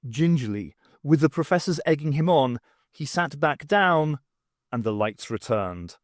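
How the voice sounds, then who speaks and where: A man reading an audiobook story as scripted narration, in an expressive, dramatised manner. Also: fairly high pitch, a little slow, no room sound, studio quality. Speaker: audiobook reader. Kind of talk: dramatised reading with voices for characters.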